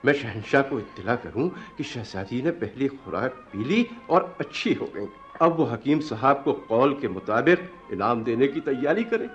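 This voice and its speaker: An actor playing a shrewd royal envoy in a radio play, courtly, persuasive and obsequious, on a dull recording. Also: male voice, mid-range voice, expressive, a little slow, few pauses, soft background music, lightly acted. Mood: jovial. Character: shrewd, deferential royal envoy posing as healer. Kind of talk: Hindi-Urdu radio play acting.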